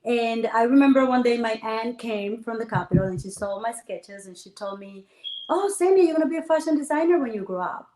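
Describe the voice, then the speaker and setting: A woman's voice: fairly high pitch, fairly quick, a little hiss or hum. Woman from the Dominican Republic, echoey room. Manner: lively storytelling, animated quoting. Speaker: guest entrepreneur. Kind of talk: conversational interview.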